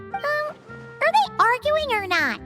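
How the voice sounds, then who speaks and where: A squeaky little fairy sidekick for a game, piercingly bright, wildly swinging pitch, always asking questions. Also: female voice, slow, faint music behind, full character voice. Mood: curious. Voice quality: high squeaky voice. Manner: inquisitive, excitable. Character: tiny chirpy female fairy companion, curious. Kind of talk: video game voice acting.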